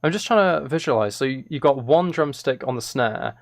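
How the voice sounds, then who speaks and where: A man's voice: medium pitch, lively intonation, brisk, background noise. A man chatting casually as he works on music on a live stream, musing aloud in a self-deprecating way. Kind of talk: casual chat while working.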